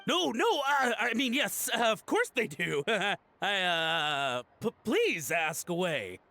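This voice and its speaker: An actor playing a nervous, guilty antique collector in a video game, melodramatic and easily flustered. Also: male voice, high-pitched, very animated, slow pace, sharp tone, soft background music, full character voice. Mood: panicked, pleading. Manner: flustered stammering and melodramatic pleading. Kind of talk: voice-acted game dialogue.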